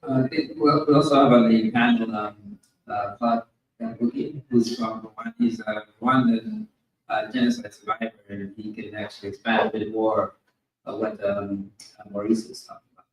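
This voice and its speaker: A man's voice: on the low side, moderate pace, lo-fi sound. A man moderating a panel, informally introducing a guest in a hesitant way, searching for words. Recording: noisy echoey room, distant mic.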